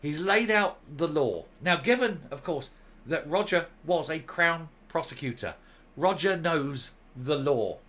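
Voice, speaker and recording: A male commentary host reading a document aloud and commenting on it, stressing key words with deliberate, emphatic phrasing. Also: fairly high pitch, animated, fairly bright tone.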